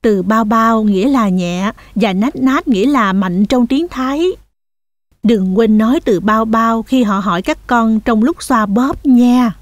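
A woman voicing a patient, cheerful mother goat in a children's cartoon, explaining things in a motherly, gently teasing way. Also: on the high side, measured pace, no echo, lightly acted. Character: patient, cheerful mother goat guiding her kids on tours.

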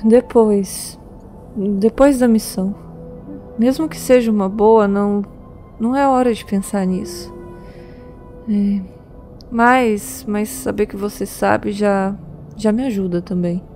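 Woman plays anxious caring young woman, stammering, hesitant, soft music under, audio drama. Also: medium pitch, fairly even intonation, takes it slowly, long pauses.

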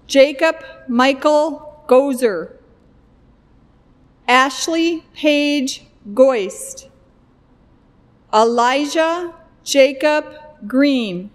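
A middle-aged woman at a podium microphone, reading graduates' names one by one in a slow, deliberate way. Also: high voice, big-room sound. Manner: deliberate, evenly paced name reading at a podium. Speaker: commencement name reader. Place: indoor stage at a podium, recorded for a virtual ceremony.

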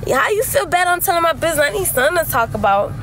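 A young woman livestreaming from a car on a phone, chatting bluntly and confessionally with heavy slang and swearing, her voice tired from yelling. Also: very high voice, big pitch swings, racing. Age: young adult. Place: inside a car. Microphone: phone held close at arm's length. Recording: noisy phone audio with vehicle ambience.

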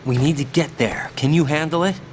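A man's voice: a little high, fairly quick, lightly acted. A man with an American accent playing an earnest, determined young special operative in a video game. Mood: urgent, guarded. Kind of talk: video game cutscene acting. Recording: clear vehicle and ambience noise behind.

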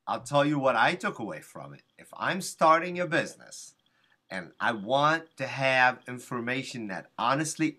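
A man's voice: fairly high pitch, bright, forceful tone. A middle-aged man hosting a casual video call interview from a small office, chatty and enthusiastic. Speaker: interview show host. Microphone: webcam on a video call.

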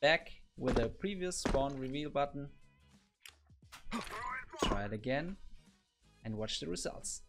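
A man's voice: medium pitch, expressive, slow, long pauses, loud music behind the voice. A man in his thirties, a gaming coach, talking through a game tutorial in an upbeat, explanatory way from a home office on a studio mic. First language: German. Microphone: boom arm studio mic.

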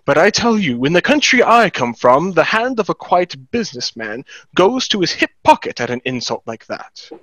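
A man's voice: high voice, very animated, normal speed, hardly any pauses, a bit bright, no room sound, heavily acted. A young man in a play reading, acting a hot-headed, proud, indignant young businessman, recorded on a headset. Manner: brash, indignant. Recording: dull headset video-call audio.

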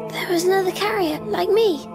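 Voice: extremely high pitch, brisk, music in the background. A performer playing an earnest, sensitive small child in a video game. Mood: earnest, sympathetic. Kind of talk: video game cutscene acting.

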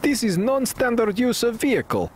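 A man's voice: very high voice, sing-song, moderate pace, a little hiss or hum. An actor playing a baffled, humourless male soldier in a video game, speaking stilted, literal broken English.

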